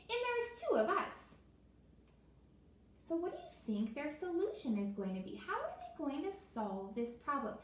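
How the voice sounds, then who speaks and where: A woman teacher leading an interactive read-aloud for young children, encouraging them and putting questions straight to them. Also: high-pitched. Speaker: elementary school teacher. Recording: echoey room with faint noise.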